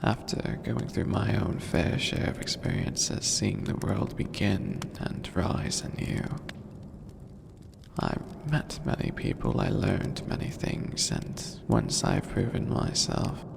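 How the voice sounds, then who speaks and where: An imperious skeleton demon lord played by a man speaks in a heavily creaking murmur, drawing out each formal phrase very slowly. The delivery is theatrical and bored yet playfully menacing, in the intimate manner of fantasy ASMR, over a noisy recording. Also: low voice, big pitch swings, exaggerated voice. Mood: lofty, brooding.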